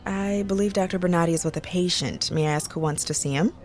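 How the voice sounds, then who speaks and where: A woman acting a brisk, polite nurse with a disdainful streak in a radio drama. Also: lowish voice, darkish tone, close to an everyday voice. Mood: polite, professional.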